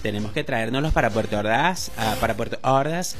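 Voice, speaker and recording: A man's voice: mid-range voice, expressive, fairly quick, soft background music. A man with a Venezuelan accent co-hosting a talk show in casual, colloquial commentary, often repeating phrases for emphasis.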